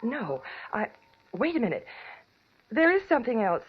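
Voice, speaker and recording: An actress playing an anxious, refined young wife in a 1940s radio drama, speaking earnestly and politely with some hesitation. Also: female voice, on the high side, sing-song, moderate pace, over music. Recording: dull, lo-fi 1940s radio recording.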